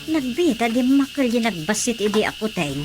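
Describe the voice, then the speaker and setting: High-voiced, exasperated Ilocano daughter. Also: female voice, big pitch swings, moderate pace, over music. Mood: explaining. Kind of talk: radio comedy drama acting.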